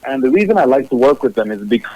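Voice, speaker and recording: A man in his thirties from Zambia, a musician speaking from his own studio in a casual, measured interview, often repeating words as he thinks. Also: mid-range voice, very animated, dry recording, muffled recording. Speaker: musician guest.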